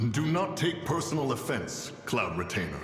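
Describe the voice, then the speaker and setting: An actor playing a courteous, wise immortal in a video game, speaking in a calm, measured, formal way. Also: male voice, mid-range voice. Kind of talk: game voice acting. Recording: echoey reverberant space, music behind.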